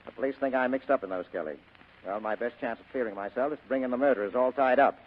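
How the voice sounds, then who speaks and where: An actor playing a tough, wisecracking American private detective in old radio drama style, with clipped, insolent, slangy delivery. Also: male voice, medium pitch, fast, natural voice. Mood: defiant. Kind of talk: 1940s-style radio acting. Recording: tinny, noisy old radio recording.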